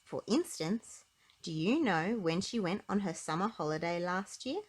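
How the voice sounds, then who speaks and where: Animated low-voiced woman reading to children. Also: normal speed, slightly rough. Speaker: storybook narrator for children. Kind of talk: animated read-aloud narration for children.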